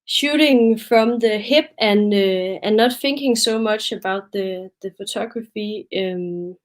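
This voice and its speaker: A woman's voice: medium pitch, slow. A young Danish woman presenting her photo project on a video call, hesitant and a bit nervous, with many fillers. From Denmark. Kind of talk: webinar presentation.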